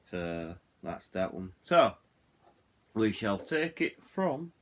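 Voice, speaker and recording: A middle-aged man with a low voice and a Yorkshire accent talks through a product in an informal, hands-on way. He rambles, drifting off track and circling back to repeat his points. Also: big pitch swings, takes it slowly. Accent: Yorkshire English. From Yorkshire, England. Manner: rambling, digressive, repeats himself. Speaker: hobbyist radio reviewer. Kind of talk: casual hands-on product walkthrough.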